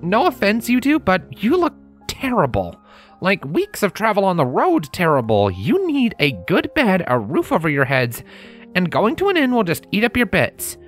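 A woman voicing a friendly, excitable young male pony tinkerer in a storybook reading, with casual, earnest speech. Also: mid-range voice, moderate pace, soft background music, voice pushed up. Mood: earnest, insistent. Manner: casual, earnest, hesitant with filler words.

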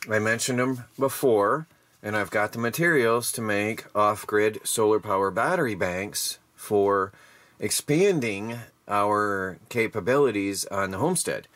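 A man's voice: fairly low pitch, a little slow, a bit bright, dry recording. Weary plain-spoken man touring his homestead. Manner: rambling, plain-spoken, tired after hard work. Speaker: homestead DIY vlogger. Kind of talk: casual walk-through narration. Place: outdoors in a yard, then a workshop.

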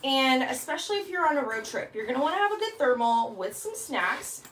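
A woman's voice: sits high, very animated, a little fast, a bit bright, distant mic, a little hiss or hum. A woman in her thirties chattily demonstrating bags in a product vlog, with frequent ums. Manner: chatty, upbeat, lots of ums. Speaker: bag consultant. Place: small room indoors. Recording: echoey room, mic set back.

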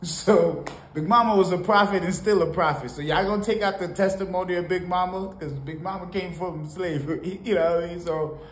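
A man from Haiti giving casual, animated reaction commentary on a song in a small home studio, talking to the camera. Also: high voice, brisk. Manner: animated, preachy, sprinkled with laughs and "you know". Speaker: music reaction host. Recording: roomy home studio with faint noise.

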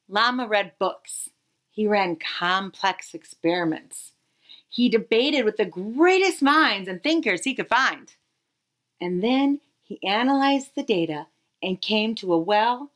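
A woman in her thirties reading a children's picture book aloud expressively, with dramatic pauses and emphasis. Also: fairly high pitch, fairly bright tone. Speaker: read-aloud storyteller.

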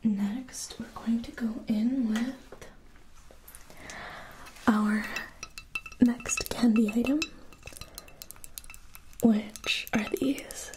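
A young woman whispering gentle instructions in an ASMR spa roleplay, speaking slowly and softly to the listener. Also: a little high, fairly even intonation, noisy background. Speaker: ASMR creator. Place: indoors, small room with a floral backdrop.

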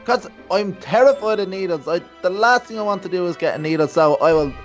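A performer playing a cocky, excitable young sibling in a children's game roleplay, in a dramatic, repetitive way. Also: mid-range voice, fairly quick, natural voice. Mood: boastful. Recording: dull lo-fi voice, music behind.

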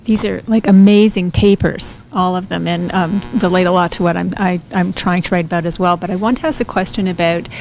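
A woman in the audience with a soft voice, asking the panel a question. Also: lowish voice, fairly even intonation, brisk, vocal fry, few pauses, a little hiss or hum, low-quality recording. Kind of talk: question from the floor. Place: hotel conference room.

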